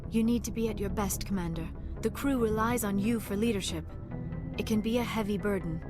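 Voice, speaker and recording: A shy, bookish young alien archaeologist, voiced by a woman speaks in careful, formal sentences at a middle pitch. She is earnest and awkward around people. Game score plays clearly beneath her. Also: fairly quick, lightly acted. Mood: sympathetic. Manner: earnest, formal, flustered when embarrassed. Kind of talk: video game dialogue. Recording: game audio with music behind.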